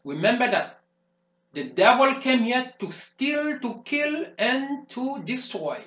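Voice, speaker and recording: An actor playing a fervent preacher who speaks in emphatic, repetitive sermon phrases. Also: male voice, very high voice, big pitch swings, slow pace, fairly bright tone, full character voice. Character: fervent male preacher warning of the devil. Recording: roomy echo.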